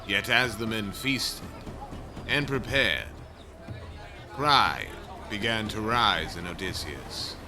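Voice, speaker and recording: A man's voice: on the low side, takes it slowly. A man narrating an epic audio drama in a solemn, portentous, scripted storytelling style. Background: music.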